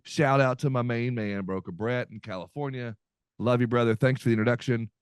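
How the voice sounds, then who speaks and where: A man's voice: medium pitch, fairly even intonation, brisk, clean tone, dry recording, studio quality. A man hosting a podcast, chatting casually in an upbeat, folksy way with lots of fillers. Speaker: podcast host. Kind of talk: casual podcast chat with ad reads.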